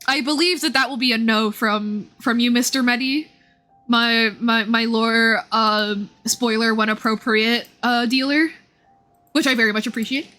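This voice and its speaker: A woman livestreamer chatting casually and reacting to a game, animated and opinionated, with some casual swearing. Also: fairly high pitch.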